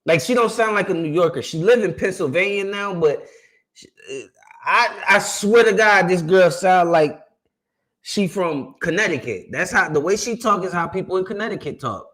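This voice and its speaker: A man's voice: high-pitched, animated, moderate pace, fairly bright tone. A man from South Carolina hosting a reaction video, talking in loose slang and laughing easily. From South Carolina, USA. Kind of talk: casual reaction commentary.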